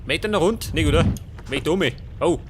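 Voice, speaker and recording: A man's voice: fairly high pitch, animated, a little fast, noisy background. A man with a Bavarian accent doing casual let's play commentary, muttering to himself in colloquial, sweary bursts. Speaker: let's player.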